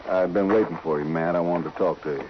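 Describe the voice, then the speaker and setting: Actor as low-voiced troubled former gunfighter. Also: male voice, fairly even intonation, fast, close to an everyday voice. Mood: uneasy, confessing. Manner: folksy, plain-spoken cowboy drawl with rustic grammar. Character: troubled reformed gunfighter, rancher and father, proud, anxious. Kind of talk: old-time radio western acting. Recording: muffled, noisy old radio recording.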